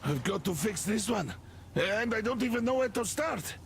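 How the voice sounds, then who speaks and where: An overwhelmed game mechanic. His voice is high and creaky, his delivery hesitant and fretful. Faint noise sits in the background. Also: animated, normal speed. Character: worried, overwhelmed male train mechanic. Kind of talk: video game voice acting.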